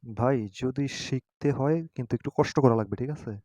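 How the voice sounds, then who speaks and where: A man's voice: medium pitch, warm tone, no room sound. A man hosting an informal step-by-step app tutorial, speaking hesitantly and often checking that listeners follow. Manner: hesitant, halting, often seeking agreement. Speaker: tutorial host.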